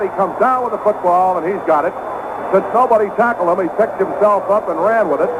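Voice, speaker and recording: Radio play-by-play man, highish voice, brisk calls, old tinny broadcast with steady hiss. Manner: brisk, rising excitement on big plays. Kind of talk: live football play-by-play.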